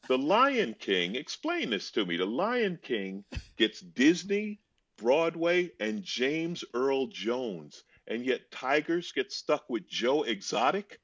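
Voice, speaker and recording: A man with a deep voice, answering fan questions with wry, deadpan jokes and drawn-out punchlines during a video call. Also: no room sound. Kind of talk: joking video-call chat. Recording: dull, lo-fi video-call audio.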